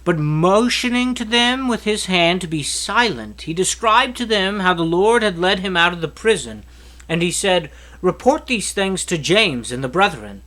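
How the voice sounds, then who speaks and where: Male scripture narrator, lively and varied intonation, bright forward tone, expressive storytelling read. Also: high voice, normal speed. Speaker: Bible reader.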